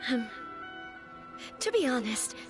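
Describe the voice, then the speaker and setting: High-voiced, courteous young noblewoman, music behind. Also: sing-song, takes it slowly, exaggerated voice. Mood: nervous, hesitant. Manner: formal, courteous, earnest, hesitant. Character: gentle young noblewoman, polite, anxious bride-to-be. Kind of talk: video game dialogue acting. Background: background game music.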